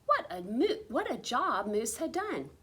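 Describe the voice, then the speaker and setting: Female storybook reader, unhurried and highly varied in pitch, with theatrical emphasis. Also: sing-song, a bit bright, husky. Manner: theatrical, drawn-out emphasis on repeated words. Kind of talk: expressive picture-book read-aloud.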